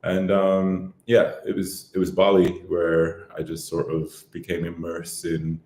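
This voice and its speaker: Very deep-voiced man from Barbados. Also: unhurried, roomy sound. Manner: relaxed, rambling, many fillers and restarts. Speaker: podcast guest. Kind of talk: casual interview chat.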